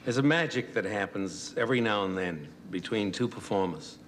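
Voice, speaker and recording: A man's voice: lowish voice, expressive, normal speed, a bit bright, slight background noise. A man, a guest actor, talking in an easygoing, reflective way on a talk show.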